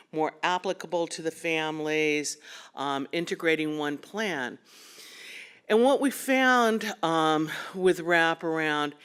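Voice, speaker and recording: A woman's voice: low voice, clear, resonant tone. A woman clinical psychologist, giving a conversational conference talk with frequent ums and self-corrections.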